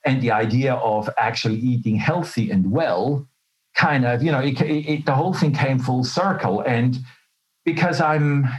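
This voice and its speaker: A middle-aged man who grew up in Switzerland speaks as an interview guest at a medium pitch. He tells personal stories in a candid, colloquial way and makes fun of himself. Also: normal speed, low-quality recording. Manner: self-deprecating, colloquial, candid. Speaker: interview guest, health professional. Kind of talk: conversational personal storytelling.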